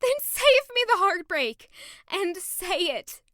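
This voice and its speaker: Actress as a heartbroken, very high-voiced young woman. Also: big pitch swings, takes it slowly, sharp tone, no room sound, studio quality, exaggerated voice. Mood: accusing, heartbroken. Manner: emotional, accusing, pleading. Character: heartbroken, wounded young woman confronting her evasive lover. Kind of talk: audio drama acting.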